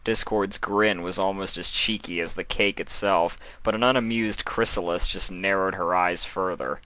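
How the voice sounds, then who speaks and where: A man reading scripted fan fiction as the narrator, in an expressive storytelling style. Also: deep voice, moderate pace, clean tone, dry recording.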